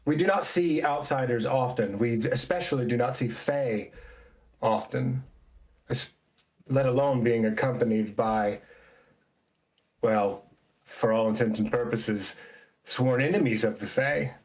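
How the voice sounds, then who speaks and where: A man's voice: medium pitch, a little slow, pauses often, close to an everyday voice. A man playing a stern, impatient female camp guardian with a brusque, formal way of questioning strangers. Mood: stern, suspicious.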